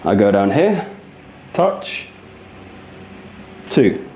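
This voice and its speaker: A man's voice: on the low side, big pitch swings, extremely slow, a little mellow, slight background noise. A man talking casually and earnestly while demonstrating rehab exercises, in a confiding, conversational fitness vlog manner. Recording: echoey, roomy sound.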